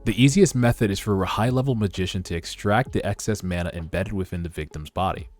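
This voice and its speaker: Determined young sorcerer for a webtoon dub, read by a man: deep, measured, earnest, music beneath. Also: a little mellow, close to an everyday voice. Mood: grim resolve. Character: reincarnated young male sorcerer, determined and calculating. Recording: music under the voice.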